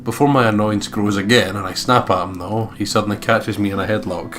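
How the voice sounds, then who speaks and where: A man with a fairly low voice narrates a visual novel as a let's play host, slipping between reading and banter. Guitar music plays clearly behind him. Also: steady tone, brisk, a bit bright. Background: guitar music from the game.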